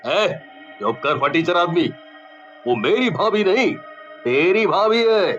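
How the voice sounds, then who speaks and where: A man's voice: sits high, animated, slow pace, fairly bright tone, exaggerated voice. A man playing a brazen, bullying young village thug in a cartoon story, with a lecherous, scoffing manner. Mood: scornful. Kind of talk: Hindi cartoon story voice acting. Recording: dull lo-fi voice over music.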